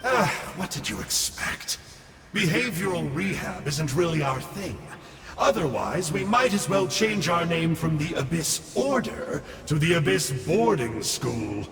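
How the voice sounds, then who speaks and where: Glib cowardly villain clerk. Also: male voice, on the high side, big pitch swings, measured pace, creaky, echoey room, long reverb tail, over music, heavily acted. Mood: sarcastic. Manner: glib, sarcastic. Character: sly cowardly clerk villain, flippant and wry.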